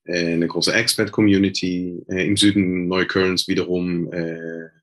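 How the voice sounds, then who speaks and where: A man's voice: deep voice, little pitch movement, measured pace, a bit bright. A man from Israel, a Hebrew speaker, talking hesitantly as an interview guest with many filler sounds and restarts. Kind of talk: casual interview talk.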